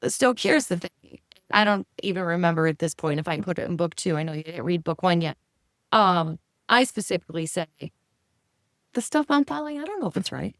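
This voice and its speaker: A woman's voice: lowish voice, lively intonation, no echo, clean studio sound. A woman author being interviewed on a podcast, chatty and emphatic as she answers. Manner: chatty and emphatic, quick agreements. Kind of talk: casual interview talk.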